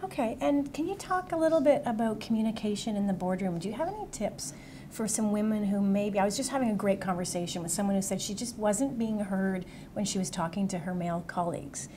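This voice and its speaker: A woman's voice: mid-range voice, expressive, a little fast, warm tone, slight background noise. A woman interviewer asking questions in a friendly, encouraging way.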